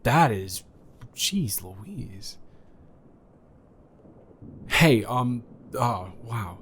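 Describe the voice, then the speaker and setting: A man's voice: mid-range voice, expressive, very slow, fairly bright tone, a little hiss or hum, heavily acted. A man playing an awkward, friendly neighbor in an improvised roleplay audio, stammering and rambling hesitantly. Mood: sympathetic, nervous.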